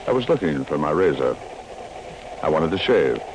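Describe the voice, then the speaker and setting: Very deep, gravelly radio villain. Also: male voice, sing-song, heavily acted. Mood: evasive. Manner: measured, falsely polite. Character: smooth, menacing male henchman boss, politely threatening. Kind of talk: 1940s radio acting. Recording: noisy vintage radio recording.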